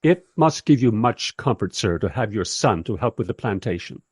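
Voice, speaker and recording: A man plays a polite traveller telling his story in a radio drama. His voice is rough and husky, with a very lively rise and fall. His manner is wry and slightly formal. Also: on the low side, normal speed. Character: wry male traveller narrating his awkward visit. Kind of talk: first-person radio play narration and dialogue.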